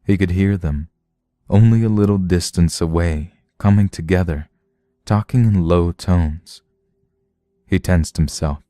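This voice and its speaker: A man with a low, dark voice narrates a suspense story. He reads slowly and deliberately, in a measured dramatic style, recorded close and dry. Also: no echo.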